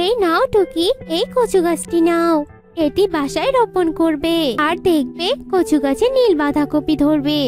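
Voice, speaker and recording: Very high-pitched kindly fairy played by a woman. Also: a little slow, darkish tone. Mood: instructing. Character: kind, grateful wish fairy freed from a flower. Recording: music behind the voice.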